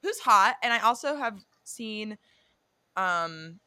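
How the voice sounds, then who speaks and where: Young woman, podcast co-host, bright voice, dry close sound, rambling casual chat. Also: mid-range voice, animated, slow pace, clean tone, no echo. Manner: chatty, swears freely, drifts off and self-corrects. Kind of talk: casual chat about a reality show and astrology.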